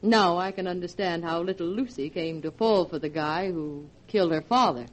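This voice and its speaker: A woman's voice: fairly low pitch, normal speed, natural voice, low-quality recording. An actress playing a young, well-off woman in a 1940s radio drama. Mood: coy, knowing. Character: young heiress and her coy, sophisticated actress half-sister. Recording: vintage 1940s radio broadcast.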